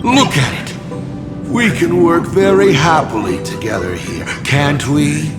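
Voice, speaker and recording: A man's voice: on the high side, expressive, unhurried. An actor voicing a smug, sardonic villain in a video game, theatrical and mockingly urbane as he drawls out his taunts. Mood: mocking, playful. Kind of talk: dramatic video game voice acting. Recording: loud music under the voice. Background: loud background music.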